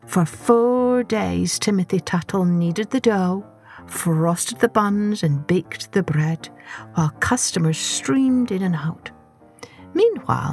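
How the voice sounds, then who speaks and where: A woman narrating a children's story expressively, with deliberate, dramatic phrasing. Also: medium pitch, a little mellow.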